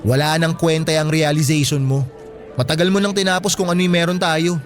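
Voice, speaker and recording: A man plays a resentful young student, guarded and curt with his ex in a radio drama. His voice is high for a male and moves quickly, over faint music. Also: flat delivery, lightly acted. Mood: cold, resentful. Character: hurt, guarded teenage student ex-boyfriend, Benson. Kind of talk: radio drama acting in Filipino.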